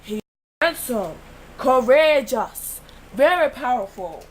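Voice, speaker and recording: Teen girl narrator, playful, high-pitched. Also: sing-song, slow. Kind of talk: school project narration.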